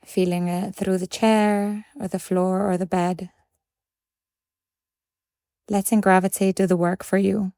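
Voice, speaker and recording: A woman leading a guided meditation in a calm, gentle and measured way, leaving pauses between phrases. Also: mid-range voice, dry recording, clean studio sound.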